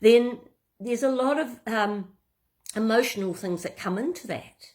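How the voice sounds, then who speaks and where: A woman's voice: on the high side, a little slow. Conversational New Zealand businesswoman. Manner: relaxed and conversational, with frequent fillers and restarts. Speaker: business coach. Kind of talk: talking-head business tips.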